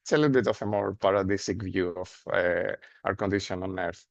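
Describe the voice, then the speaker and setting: A man with a Greek accent giving an academic seminar lecture. He speaks in a measured way, with frequent "uh" fillers and a rhetorical "no?" at the end of points. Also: low-pitched, no room sound. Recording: dry, dull lo-fi sound.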